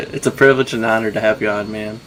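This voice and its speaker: A man's voice: lowish voice, fairly even intonation, fairly quick, a crowd in the background, poor audio. A young adult man hosting a podcast, asking laid-back questions filled with fillers like "like.". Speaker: podcast host. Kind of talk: casual interview. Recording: faint background noise.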